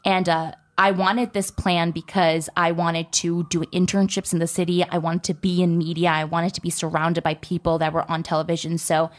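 A woman's voice: lowish voice, level tone, normal speed, few pauses. A young woman telling a personal story to her viewers in a chatty way, full of "like" and "you know". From United States.

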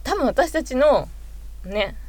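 A woman podcast co-host chatting casually as a fan, animated and fond of acting out her examples. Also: high-pitched, takes it slowly, crowd noise. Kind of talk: casual fan chat about anime.

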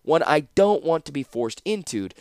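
Man voicing a sardonic woman torturer, wide-swinging pitch. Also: moderate pace, no echo, clean studio sound. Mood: dry, sardonic. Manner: mocking, calmly menacing. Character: cruel, breezily sardonic red-haired woman torturer.

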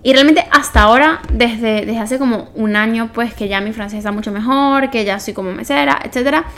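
A young adult woman with a fairly high voice and a Venezuelan Spanish accent. She talks as a podcast co-host in an informal, conversational way, reworking her sentences as she tells personal stories. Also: fairly quick, a bit bright. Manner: informal, self-correcting storytelling. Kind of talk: casual personal chat.

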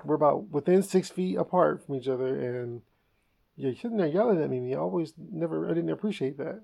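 Creaky-voiced male podcast host. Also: fairly high pitch, fairly quick. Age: adult. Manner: laughs often, animated storytelling. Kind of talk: casual comedic chat.

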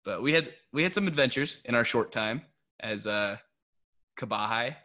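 A man's voice: mid-range voice, normal speed, bright, forceful tone. A young American man hosting a casual podcast. He talks in a laid-back, chatty way, laughs often and uses lots of fillers. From United States. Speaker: podcast host. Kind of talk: casual podcast chat mixing English and Tagalog.

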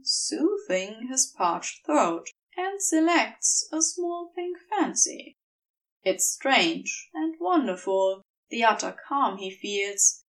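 High, lively woman narrating fiction. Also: recorded from a distance, rough recording. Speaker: podfic narrator.

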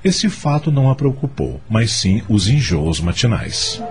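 A man's voice: lowish voice, sing-song, measured pace, music in the background. A man narrating a radio soap opera in scripted storytelling style.

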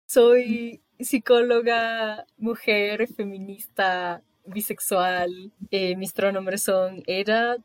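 A woman, introducing herself in a casual group chat, halting and giggly. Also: mid-range voice, flat delivery, no room sound. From Ecuador. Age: 20s. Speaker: psychologist, panelist.

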